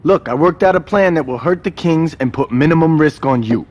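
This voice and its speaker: An actor playing a cautious, level-headed gang strategist in a video game cutscene, exasperated and no-nonsense. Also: male voice, fairly high pitch, fairly quick, darkish tone, close to an everyday voice. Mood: firm, persuasive.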